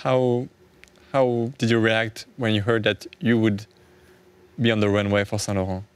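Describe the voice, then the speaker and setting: A man's voice: on the low side, flat delivery, a little slow, clear, resonant tone. A male journalist interviewing a guest with short, direct questions.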